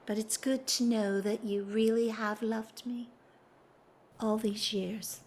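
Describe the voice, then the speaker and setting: A woman's voice: a little high, fairly even intonation. An actress playing a dignified married society woman in love, speaking formally and earnestly in a period audio drama. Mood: wistful, resigned. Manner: formal, earnest, theatrical, measured delivery.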